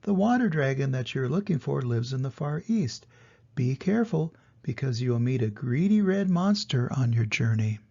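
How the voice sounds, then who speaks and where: A parent eagle from a children's story, acted out by an older man, gives instructions and cautions. It is creaky, on a slightly muffled recording. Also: medium pitch, animated, normal speed, darkish tone. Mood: advising. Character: parent eagle, bargaining, helpful guide.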